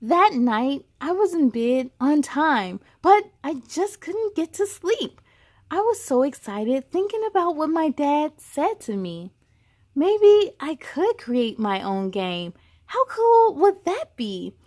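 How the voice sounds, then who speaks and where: Slow, high-pitched woman reading to children. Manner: expressive, encouraging, chatty asides to child viewers. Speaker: children's story-time host. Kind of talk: animated children's read-aloud.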